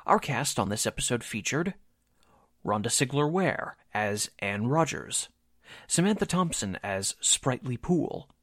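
A man's voice: medium pitch, big pitch swings, measured pace, a bit bright, no echo, clean studio sound. A man working as a radio drama announcer, reading scripted show narration.

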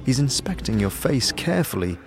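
A man's voice: medium pitch, very animated, fairly quick, vocal fry. A man delivering scripted second-person narration for a fantasy audio story, as narrator and game master. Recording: music under the voice.

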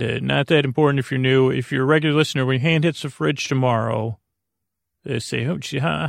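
Creaky-voiced man rambling on a sleep podcast. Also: mid-range voice, no room sound, clean studio sound. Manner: meandering, halting, tangential, full of drawn-out uhs. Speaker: podcast host.

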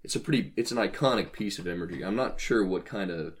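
Male podcast co-host, low-pitched, dry-humoured, hesitant, conversational folklore talk. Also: expressive, fast talker. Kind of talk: casual podcast chat about folklore.

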